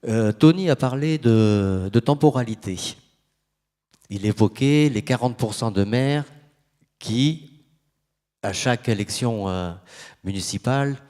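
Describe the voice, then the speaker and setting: A man moderating a panel, asking a long question in a halting, hesitant way. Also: on the low side, dark tone. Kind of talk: opening a panel debate.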